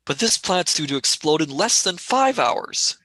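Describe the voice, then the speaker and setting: A man's voice: on the high side, very animated, a little slow, bright, forceful tone, no room sound, heavily acted. A man playing an agitated, impatient young space traveller in a sci-fi radio play, blunt and worried.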